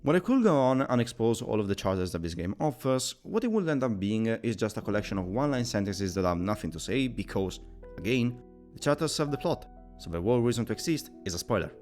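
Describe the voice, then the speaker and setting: A man's voice: lowish voice, big pitch swings, fast, a little mellow. A man narrating a scripted video game review in a wordy, opinionated, somewhat formal way. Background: background music.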